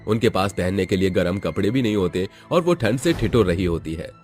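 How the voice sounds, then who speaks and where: A man's voice: sits low, lively intonation, brisk, darkish tone, faint music behind. A man reading a scripted moral story as its narrator.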